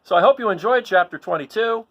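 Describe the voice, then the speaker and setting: A man reading a children's story aloud in an expressive style, as the host of a read-along. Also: sits high, quick pace, lo-fi sound.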